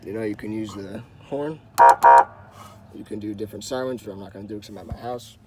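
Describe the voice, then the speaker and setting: A man's voice: on the low side, steady tone, quick pace, heavy background noise. A man hosting a how-to video, talking casually and informally as he explains each step with repeated instructional phrases. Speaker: host of a how-to car channel. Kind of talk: casual hands-on tutorial talk.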